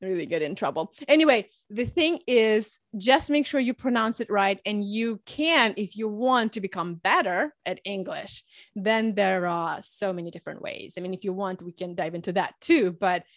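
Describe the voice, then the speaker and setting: A woman from Russia, raised in Ukraine, speaking English as a podcast guest. She talks in an energetic, chatty way, laughing often and explaining through examples. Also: medium pitch, normal speed, dry recording, clean studio sound. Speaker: guest, public speaking coach. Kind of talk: conversational podcast interview.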